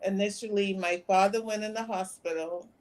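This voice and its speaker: A woman in her fifties, a guest on a talk show, recounting events in a plain, soft-spoken way. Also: mid-range voice, fairly even intonation, moderate pace, a loud crowd, poor audio. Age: 50s. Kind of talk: interview conversation.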